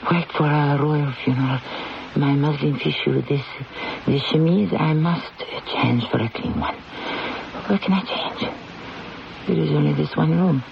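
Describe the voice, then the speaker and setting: A woman acting a proud, condemned French queen in a radio drama, with a regal, theatrical, deliberate delivery. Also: deep voice, gravelly. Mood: proud, strained. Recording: muffled old radio recording, faint noise.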